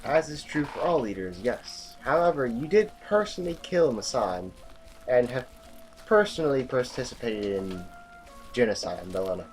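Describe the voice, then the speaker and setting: A man playing a sober, war-weary revolutionary general in an audio drama, with a husky voice and a measured, blunt manner. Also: medium pitch, lively intonation, soft background music, close to an everyday voice, low-quality recording. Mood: guarded.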